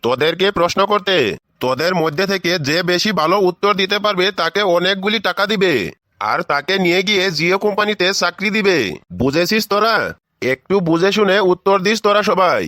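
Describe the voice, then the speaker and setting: A man's voice: high-pitched, a little fast, pushed and bright, dry recording, clean studio sound. A man voicing a fatherly but exasperated school official addressing children in a comedy cartoon, with exaggerated delivery. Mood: announcing. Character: exasperated adult man, schoolteacher and visiting company manager.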